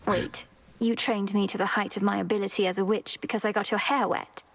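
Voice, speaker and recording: A woman's voice: medium pitch, fairly even intonation, fairly quick, fairly bright tone. A woman voicing an earnest young witch princess who speaks formally in a studio game. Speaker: game character.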